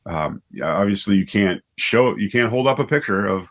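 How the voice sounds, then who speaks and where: A man being interviewed as a writer and director, explaining things casually and haltingly, often rephrasing himself as he goes. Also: lowish voice, animated, fairly bright tone. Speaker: interview guest, writer and director of audio dramas.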